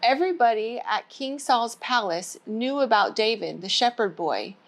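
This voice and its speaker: A woman's voice: on the high side, lively intonation, moderate pace. A woman hosting a children's storytime, reading a storybook aloud in simple language aimed at young children. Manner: simple, child-directed address. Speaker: children's storytime host at a church.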